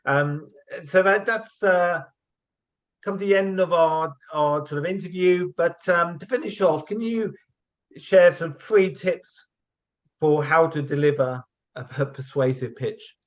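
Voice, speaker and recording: A man's voice: on the high side, measured pace. A man hosting an interview, hesitant and fumbling, often repeating himself. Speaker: interview host. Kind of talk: casual interview. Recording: dull lo-fi sound.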